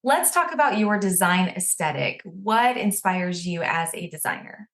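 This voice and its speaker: A woman's voice: mid-range voice, expressive, a little slow, a bit bright. A woman hosting a podcast, reading a scripted guest introduction and then chatting casually in an upbeat manner. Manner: upbeat, reads introduction smoothly. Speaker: podcast host.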